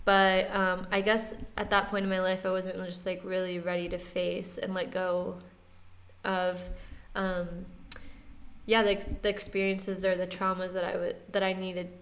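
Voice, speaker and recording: A woman in her twenties speaks in a relaxed, conversational way. Her pitch stays quite level, with little rise or fall. She talks softly, with light laughs and plenty of casual fillers. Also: normal speed. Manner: soft-spoken, relaxed, often laughing lightly, many "like" fillers. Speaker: interview guest.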